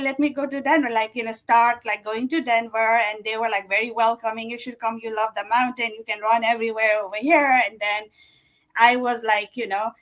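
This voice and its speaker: A woman's voice: on the high side, fairly bright tone, rough recording. A woman, a guest chatting casually and rambling with many fillers. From Nepal. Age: 40s. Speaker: guest runner. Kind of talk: casual interview chat.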